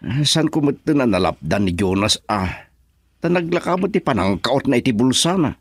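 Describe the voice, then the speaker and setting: A man's voice: medium pitch, big pitch swings, normal speed, husky, no echo, studio quality. A man playing a middle-aged father in a radio drama, mildly chiding, with an Ilocano accent. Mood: questioning.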